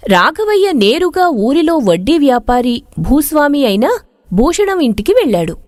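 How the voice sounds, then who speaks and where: A woman's voice: sits high, sing-song, normal speed, darkish tone, no echo, clean studio sound. A woman narrating a scripted moral story.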